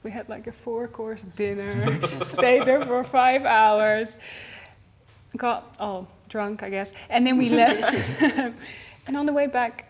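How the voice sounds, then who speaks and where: A young woman hosting a casual group chat, laughing often as she tells lively stories. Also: on the high side, takes it slowly. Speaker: host.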